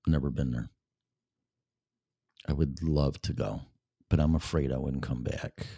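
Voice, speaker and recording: A man's voice: low-pitched, warm tone, no room sound, studio quality. A man with a Chicago accent hosting a casual chat show, rambling and blunt, with plenty of swearing. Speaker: show host. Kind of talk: casual livestream chat with viewers.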